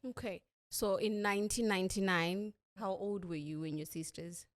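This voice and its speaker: A woman hosting an interview podcast, speaking deliberately in short phrases. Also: medium pitch. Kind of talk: interview with a scripted-sounding introduction.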